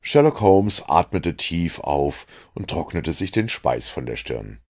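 A man reading a detective novel aloud as an audiobook, in steady storytelling narration. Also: deep voice, takes it slowly, slightly rough. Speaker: audiobook reader.

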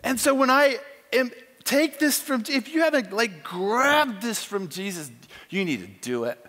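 A middle-aged man preaching on a large church stage, emphatic and animated, acting out quoted lines as he tells a story. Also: very high-pitched, measured pace. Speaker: conference speaker. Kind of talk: sermon with storytelling. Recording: long hall reverb.

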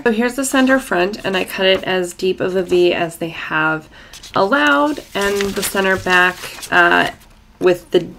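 A woman in her thirties, slightly croaky from a recent illness, chatting casually through a sewing tutorial in a small home room. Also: medium pitch, a bit bright, slight background noise. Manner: chatty and self-deprecating, with fillers. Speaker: sewing vlogger. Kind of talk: casual tutorial chat to camera.

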